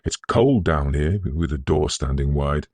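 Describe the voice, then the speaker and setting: A man's voice: a bass voice, expressive, unhurried, darkish tone, no echo, exaggerated voice. A man voicing a humble, kindly working man in a storybook reading, deferential and earnest towards his young master. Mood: coaxing.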